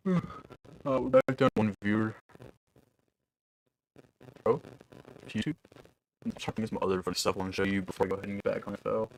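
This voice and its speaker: A man's voice: lowish voice, a little fast, creaky, long pauses, rough recording. A young man producing music on a livestream, chatting loosely with his viewers in a rambling, casual way. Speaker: music producer streaming live.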